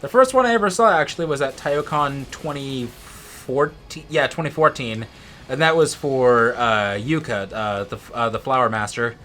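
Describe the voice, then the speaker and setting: A man's voice: medium pitch, moderate pace, pushed and bright, a little hiss or hum. A man co-hosting a let's play, chatting casually as he comments on a video game. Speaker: co-host of a gaming let's play. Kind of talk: casual gameplay commentary chat.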